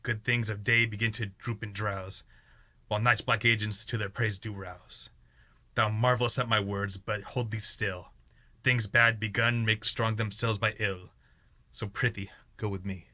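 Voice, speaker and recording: A scheming, tormented king from Shakespearean radio drama, acted by a young man. The male voice is forceful and sharp-edged, a touch low, giving verse with dramatic intensity. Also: steady tone, measured pace. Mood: tormented, darkly plotting. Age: young adult. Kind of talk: Shakespearean radio play acting.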